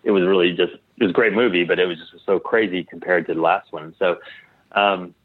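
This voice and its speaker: Male podcast guest, lowish voice, casual and halting, restarts often, heard through a narrow phone-like connection. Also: steady tone, no room sound. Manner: halting, tentative, trails off and restarts. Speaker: interview guest, clothing designer. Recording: tinny phone-like line.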